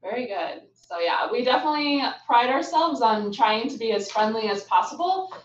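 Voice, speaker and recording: A woman's voice: on the high side, big pitch swings, moderate pace, distant mic, slight background noise. Chatty woman hosting in a roomy room. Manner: upbeat, with frequent "um" fillers. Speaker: webinar host, international affairs staff. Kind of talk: friendly webinar hosting. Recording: muffled, roomy, from off the mic.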